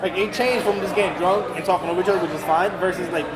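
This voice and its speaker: High-voiced man hosting a bar podcast. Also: steady tone, racing. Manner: rambling storyteller, cusses freely. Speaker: podcast host. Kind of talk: casual bar chat. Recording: roomy, loud crowd behind.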